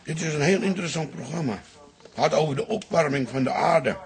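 A cheeky old father-in-law in a radio play. His voice is fairly high for a man and crackles with gravel. He talks bluntly and teasingly, nosy and full of bluster. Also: expressive, a little fast. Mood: chatty, nosy. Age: older. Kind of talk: radio drama acting.

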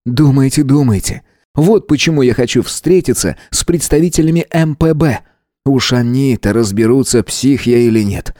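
A man reading aloud, voicing a frightened, near-hysterical male Earthman who talks shrill and pleading. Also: normal speed, mellow, dry recording, clean studio sound, close to an everyday voice. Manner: shrill, pleading, rising to squeals.

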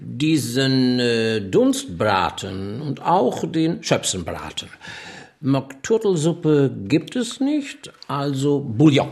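Pompous English gentleman, wildly swooping voice. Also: medium pitch, sing-song, slow pace. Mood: pompous, imperious. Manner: grandiloquent, imperious, peppers speech with English. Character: pompous, commanding English gentleman traveller and collector. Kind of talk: German radio play acting.

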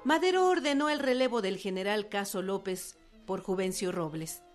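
Woman, documentary narrator, formal articulate reading, medium pitch, light background music. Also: moderate pace.

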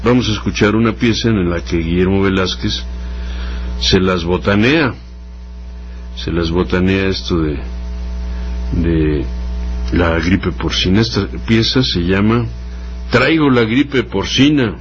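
A man with a Mexican accent hosting a radio program, talking off the cuff in a halting, hesitant way and repeating words as he goes. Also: low-pitched. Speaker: radio host. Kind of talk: unscripted radio talk introducing music. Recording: dull lo-fi radio sound, faint hum.

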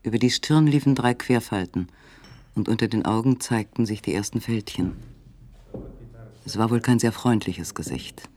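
An actor narrating a literary radio play in a measured, matter-of-fact manner. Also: male voice, on the low side, darkish tone, close to an everyday voice. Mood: measured, detached.

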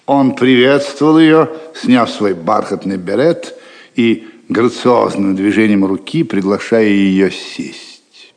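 A man's voice: on the low side, slow, darkish tone. A man giving scripted literary narration as the narrator of an audio drama.

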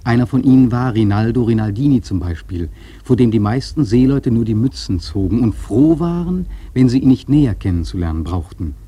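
Casting: reflective sea-novel storyteller for radio drama, mellow and husky, earnest, measured rhetoric. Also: male voice, mid-range voice, lively intonation, no echo. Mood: grave, musing. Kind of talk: literary narration in a radio play.

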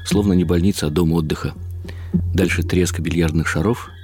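An actor playing a wry, reflective elderly husband who narrates in the first person in short clipped phrases for a radio drama. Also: male voice, sits low, steady tone, fairly quick, a little mellow, slightly rough, soft background music.

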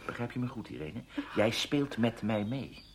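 A man acting a menacing, scheming ex-lover in a Dutch radio play, taunting and mock-polite in a deliberate way. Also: mid-range voice, expressive, gravelly, crowd noise. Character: menacing scheming male ex-lover, smooth blackmailer.

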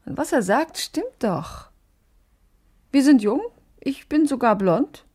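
An actress playing a discontented, yearning young wife in a radio drama, gently reproachful and quietly defiant. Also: female voice, high voice, lively intonation, slow pace, slightly rough, exaggerated voice. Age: young adult. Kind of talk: naturalistic German radio drama dialogue.

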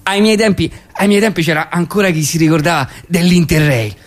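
A man's voice: a little high, brisk, lightly acted. A man performing a nostalgic, grumbling old grandfather in a comic monologue, with a Roman accent and a stammering, repetitive, sweary way of talking. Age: older. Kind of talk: comic monologue at a live reading.

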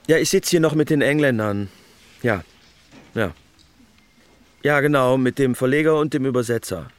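A man's voice: medium pitch, moderate pace, close to an everyday voice. An actor playing an older male writer, offhand, evasive and dryly amused, in naturalistic radio drama dialogue. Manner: casual, half-attentive, short replies.

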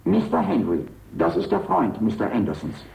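An actor playing a dutiful, literal-minded old robot servant in a radio drama, speaking formally and politely. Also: male voice, fairly low pitch, wildly swooping pitch, moderate pace, vocal fry, a little mellow, heavily acted. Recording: dull, muffled radio drama recording.

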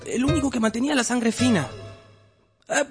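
A woman's voice: on the high side, brisk, music in the background, close to an everyday voice. A woman acting an earnest young boy in a radio drama, eagerly pleading and protesting.

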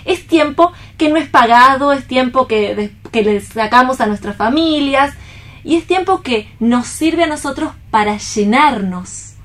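A woman's voice: high-pitched, expressive, a little slow, fairly bright tone. A woman with an Argentine accent speaking casually as a theatre performer on a discussion panel.

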